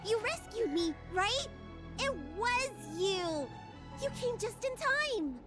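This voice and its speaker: High-pitched, feisty little dragon girl. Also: big pitch swings, takes it slowly, loud music behind the voice, full character voice. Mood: surprised recognition. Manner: childlike, indignant, emphatic. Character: young dragon girl healer, feisty, excitable, stubborn child. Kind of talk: anime game voice acting.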